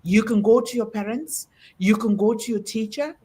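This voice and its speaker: A woman's voice: fairly high pitch, normal speed, mellow, breathy edge. A middle-aged woman hosting a panel talk, delivering an emphatic, preaching-style message and quoting scripture with rising emphasis. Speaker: host.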